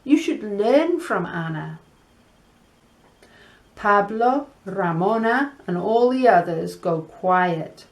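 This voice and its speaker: A woman reading to children and teaching in a deliberate, teacherly way, mixing Spanish words into English. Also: medium pitch, lively intonation. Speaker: storyteller and language teacher host. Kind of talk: children's story reading and lesson chat.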